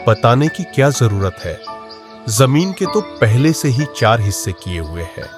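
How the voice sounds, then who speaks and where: A man's voice: medium pitch, sing-song, a little slow, over music. A man playing a lazy, bossy eldest farmer's son in a Hindi cartoon, stubborn and commanding. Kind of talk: Hindi cartoon story dialogue.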